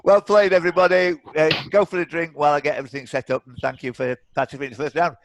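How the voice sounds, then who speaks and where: A man's voice: a little high, little pitch movement, rapid-fire, slight background noise. A man hosting a live online quiz off the cuff, with chatty, teasing banter. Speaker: quiz host. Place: indoors, online video call.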